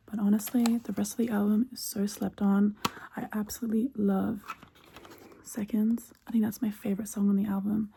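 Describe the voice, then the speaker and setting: Whispering woman, creaky ASMR shopkeeper. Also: mid-range voice. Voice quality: breathy whisper, mostly unvoiced. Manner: unhurried, soft-spoken, intimate. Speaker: ASMR roleplay host as cassette shop keeper. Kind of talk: whispered ASMR, casual music chat.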